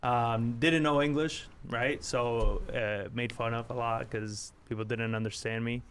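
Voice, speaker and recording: A laid-back man from Argentina, speaking as a podcast guest. He tells his story casually, with a slightly rough edge and wry humor. His voice sits at an ordinary middle pitch. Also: steady tone, measured pace, fairly bright tone. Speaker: interview guest, real estate wholesaler.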